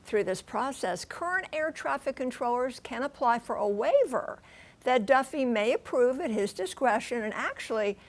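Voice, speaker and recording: A woman's voice: a little high, expressive, moderate pace. A woman working as a TV news correspondent, explaining things conversationally with emphasis and rhetorical questions. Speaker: news transportation correspondent and pilot.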